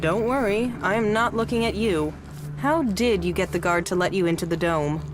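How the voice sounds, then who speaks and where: A woman's voice: mid-range voice, normal speed, noisy background, natural voice. A woman playing a shrewd, jaded smuggler in a video game, speaking in a sardonic, sizing-you-up way. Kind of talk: video game dialogue acting.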